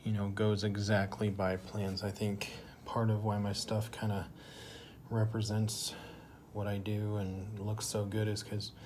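Man, young, low-pitched, easygoing workshop vlogger, loose rambling delivery, phone audio with clear background noise. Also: completely flat delivery, measured pace. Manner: easygoing, rambling, lots of fillers. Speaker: woodworker filming his project. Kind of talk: casual workshop vlog. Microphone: handheld phone. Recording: noisy phone recording in a workshop.

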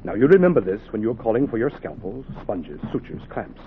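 Intense surgeon on noisy old radio. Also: male voice, on the low side, very animated. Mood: intense, instructing. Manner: dramatic, emphatic, deliberate phrasing. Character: intense, dedicated male pioneering heart surgeon teaching his assistant. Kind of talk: 1940s radio drama acting. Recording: noisy, narrow-band 1940s radio recording.

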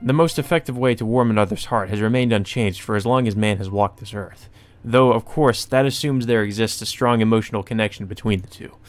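A man's voice: fairly low pitch, brisk, no echo, soft background music. A man hosting a let's play, reading a visual novel aloud and reacting casually.

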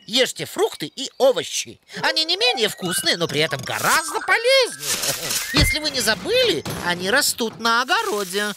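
Lively, fussy cartoon lady owl. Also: fairly high pitch, normal speed, noisy background. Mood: admonishing. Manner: didactic, expressive lecturing. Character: motherly, instructive female owl, fussy schoolteacher type. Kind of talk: animated educational cartoon acting. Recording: music behind the voice.

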